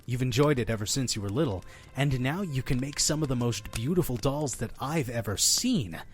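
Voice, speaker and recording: A man's voice: medium pitch, lively intonation, moderate pace, soft background music, natural voice. A man playing a friendly, mysterious innkeeper who tells stories in an audio drama, earnest and persuasive with a folksy touch. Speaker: innkeeper storyteller. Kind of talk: audio drama storytelling and dialogue.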